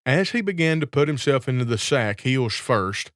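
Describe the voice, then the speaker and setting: A man's voice: medium pitch, expressive, normal speed, a bit bright, no echo, studio quality. A man reading a scripted fairy tale as a storyteller.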